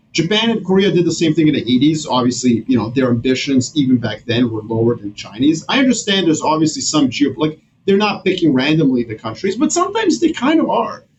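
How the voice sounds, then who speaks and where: A man from Serbia, a guest on a podcast, talking in an animated, slangy way with many restarts. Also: medium pitch, lo-fi sound, a little hiss or hum. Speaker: guest strategist. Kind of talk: casual podcast conversation.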